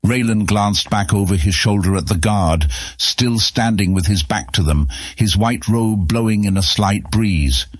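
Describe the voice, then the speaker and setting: A man's voice: fairly low pitch, measured pace, few pauses, slightly rough, no echo. A man narrating a scripted fantasy story as an audiobook narrator.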